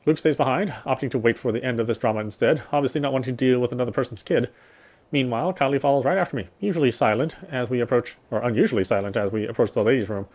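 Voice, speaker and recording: A man reading first-person visual novel narration quickly, with frequent slips and corrections. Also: medium pitch, darkish tone.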